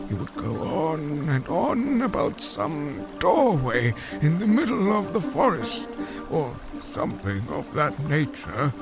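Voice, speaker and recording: An actor playing an elderly, long-winded fish-man scholar in an audio drama, with a gravelly voice and a halting, formal storytelling manner. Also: on the high side, very animated, over music, exaggerated voice. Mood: musing.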